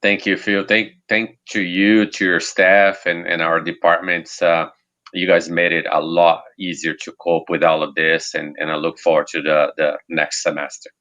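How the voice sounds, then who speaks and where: Deep-voiced middle-aged coach on a call. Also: male voice, little pitch movement, sharp tone, muffled recording. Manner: earnest, halting. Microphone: video call.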